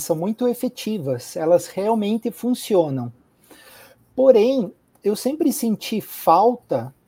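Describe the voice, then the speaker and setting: Slow, mellow southern Brazilian man. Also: high voice. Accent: southern Brazilian, Curitiba. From Curitiba, southern Brazil. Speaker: podcast guest, healthcare executive. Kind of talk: reflective interview talk.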